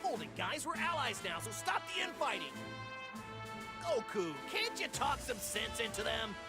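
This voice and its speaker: An earnest young fighter from an anime game, high-pitched and very animated. He sounds friendly and pleading, forever the exasperated peacemaker, with music loud beneath him. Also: normal speed, full character voice. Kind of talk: anime video game voice acting.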